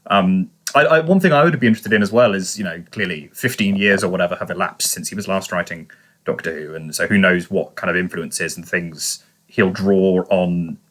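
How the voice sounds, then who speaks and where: Male co-host, slightly deep, crisp bright tone, conversational, hesitant, speculative, hedging. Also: brisk. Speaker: podcast co-host. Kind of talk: casual discussion.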